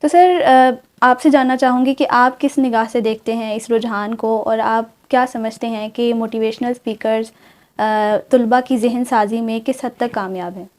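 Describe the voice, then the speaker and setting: A woman's voice: fairly high pitch, steady tone, moderate pace, darkish tone. A woman hosting a talk show, putting formal questions to her guest.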